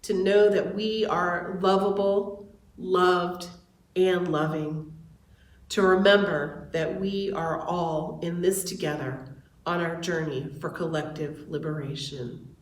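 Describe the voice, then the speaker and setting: Woman, worship leader, measured formal reading, online church service. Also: lowish voice, fairly even intonation, roomy sound. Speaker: director of religious exploration and seminary student. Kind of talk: worship welcome and call to worship, read aloud.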